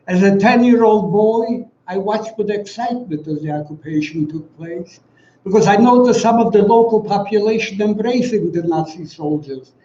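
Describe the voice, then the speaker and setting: Elderly Polish-accented man, echoey high-pitched speech. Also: dark tone. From Poland. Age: around 90. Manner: solemn, deliberate, formal, with emphatic pauses. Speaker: guest speaker, Holocaust survivor giving testimony. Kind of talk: prepared commemorative speech. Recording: echoey, dull, distant, faint noise.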